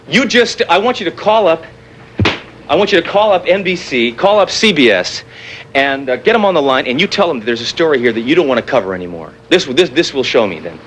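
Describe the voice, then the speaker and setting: Taunting, swooping-voiced terrorist doctor. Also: male voice, medium pitch, big pitch swings, a bit bright. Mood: goading, agitated. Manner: halting, repeats himself, sarcastic and goading. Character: intense, taunting male scientist turned terrorist, doctor. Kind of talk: naturalistic TV drama acting. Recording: noisy 1980s television broadcast audio.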